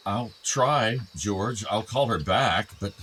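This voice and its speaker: Regretful older father, radio play, low-pitched, very expressive melody, hesitant, apologetic. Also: takes it slowly, a little hiss or hum, poor audio. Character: regretful, meek father of two grown sons. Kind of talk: naturalistic radio play acting.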